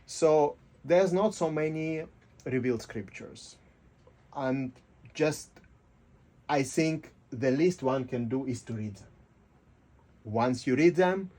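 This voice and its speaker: Mellow man, explaining with halting reasoning. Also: medium pitch. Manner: halting, rhetorical, often ends points with "right?". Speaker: interview guest. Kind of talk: casual philosophical explanation.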